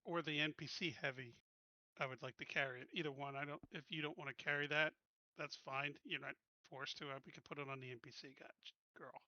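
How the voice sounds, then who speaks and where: A man's voice: fairly high pitch, fairly even intonation, a little fast, pushed and bright, dry recording, highs cut off. A man chatting casually as a player in a tabletop game.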